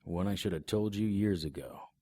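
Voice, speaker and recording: A man's voice: deep voice, normal speed, a little mellow, no room sound. A man narrating and acting the lead in a noir audio drama, dry and wry in manner.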